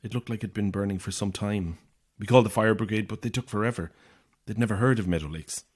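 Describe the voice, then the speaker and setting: A man's voice: fairly low pitch, fast, husky. An actor playing an anxious, isolated young Irish man, edgy and sardonic, in a naturalistic audio horror drama. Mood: defensive, flustered.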